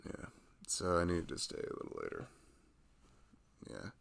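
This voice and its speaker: Man acting a tender husband, low and creaky. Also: very animated, moderate pace, full character voice. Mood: tender, tired. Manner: soft, tender, fussing, playful. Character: big, caring, affectionate young husband of a pregnant wife. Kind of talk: intimate ASMR roleplay.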